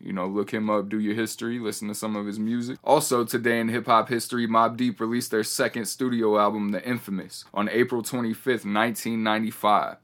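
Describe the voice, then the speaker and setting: A man's voice: fairly low pitch, little pitch movement, a little fast, hardly any pauses, a bit bright. A man hosting a podcast solo, speaking casually in a laid-back way with hip-hop slang and sometimes reading passages out. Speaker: podcast host.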